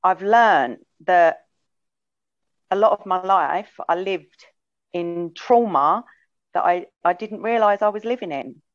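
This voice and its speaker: Slow, soft-spoken woman on muffled audio. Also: fairly low pitch, no echo. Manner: soft, hesitant, frequent ums. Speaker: guest. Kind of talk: personal testimony in a podcast.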